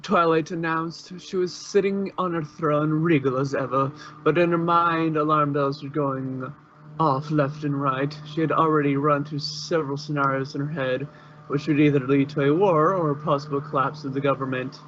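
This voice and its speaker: A man's voice: a little high, fairly even intonation, normal speed, muffled recording, background noise. A man narrating fan fiction in an informal amateur style, occasionally stumbling over words.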